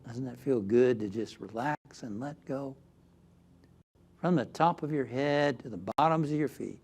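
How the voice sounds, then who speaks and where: A man with a mid-pitched voice leads a guided meditation at a very slow pace. His delivery is gentle and soothing, softening at times to near-whispers. Music plays behind him. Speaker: minister leading guided meditation.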